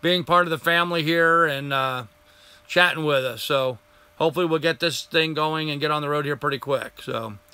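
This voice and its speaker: An older man hosting a casual live stream, chatting and answering viewer comments, getting hoarse after a long session. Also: fairly high pitch, normal speed, sharp tone, no echo. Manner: rambling, friendly, greets viewers by name.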